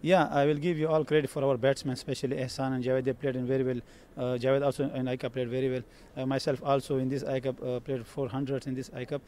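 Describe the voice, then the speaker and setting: A cricket captain from Afghanistan with an Afghan accent, giving a halting post-match interview full of fillers and restarts, outdoors at the ground. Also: male voice, mid-range voice, flat delivery, darkish tone. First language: Pashto. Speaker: team captain.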